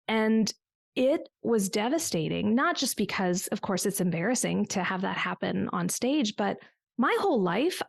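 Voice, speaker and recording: Gravelly-voiced woman telling her story. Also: medium pitch, no echo, clean studio sound. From United States. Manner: reflective storytelling with small restarts. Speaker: business coach guest. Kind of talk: conversational podcast interview.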